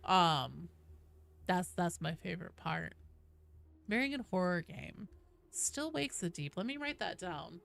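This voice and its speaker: A woman's voice: fairly low pitch, expressive, a little slow, vocal fry, long pauses, fairly bright tone. A woman game streamer chatting casually with viewers, informal and sweary, reading and answering their comments as she goes. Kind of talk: casual chat with viewers while gaming. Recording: faint background noise.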